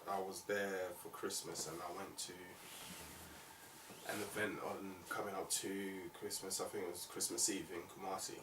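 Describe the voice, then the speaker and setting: A man's voice: sits low, level tone, moderate pace. A man hosting a conversational music interview, speaking in a reflective, opinionated way. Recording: roomy, distant mic, faint noise.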